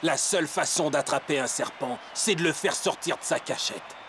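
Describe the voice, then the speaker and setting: A man in a French cartoon dub playing a serious, commanding soccer captain who speaks deliberately as a strategist. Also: on the high side, background noise, lightly acted. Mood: resolute.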